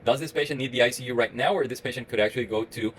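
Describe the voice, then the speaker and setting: A man from Costa Rica talks straight to camera in English with a Costa Rican Spanish accent. He speaks quickly at a mid pitch and rambles earnestly, with frequent filler sounds and restarts. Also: fairly bright tone, slight background noise. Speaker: internal medicine resident. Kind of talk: solo reflective talk to camera.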